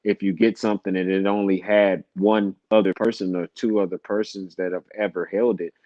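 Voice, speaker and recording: A man's voice: low-pitched, little pitch movement, normal speed, no room sound. A man chatting casually as a livestream guest, folksy and colloquial, repeating phrases as he goes, on slightly lo-fi audio. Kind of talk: casual chat.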